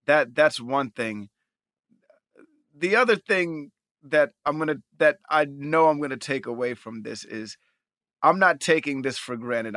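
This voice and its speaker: A man co-hosts a podcast in a relaxed, reflective way. His voice is bright and recorded dry, with no room sound. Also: on the high side, no room sound. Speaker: podcast co-host. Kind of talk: casual chat.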